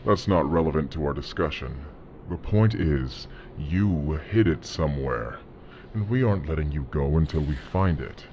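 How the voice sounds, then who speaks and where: Very deep, menacing crime boss. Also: male voice, expressive, a little mellow, dull audio, slight background noise. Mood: cold menace. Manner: slow, deliberate, threatening. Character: menacing male gang leader, calm, commanding interrogator. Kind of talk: audio drama acting.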